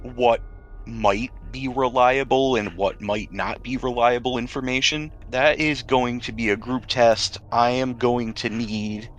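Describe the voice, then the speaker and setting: A man narrating a tabletop role-playing game as game master, with deliberate, descriptive storytelling and pauses. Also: medium pitch, fairly even intonation.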